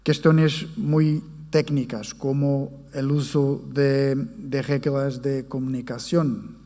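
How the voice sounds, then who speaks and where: A man with a Portuguese accent, a native Portuguese speaker, gives a formal conference presentation, haltingly searching for words in his second language. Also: mid-range voice, flat delivery, dark tone. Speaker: academic conference speaker, forensic psychologist. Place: conference hall. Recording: reverberant hall sound.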